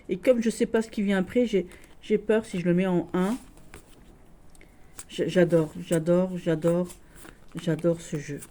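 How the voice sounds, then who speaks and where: A woman with a Lorraine accent, showing tarot decks in a casual video, gushing and halting with many restarts. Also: fairly low pitch, fairly even intonation, mellow. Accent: Lorraine French. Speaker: tarot deck reviewer. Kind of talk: casual tarot deck showcase.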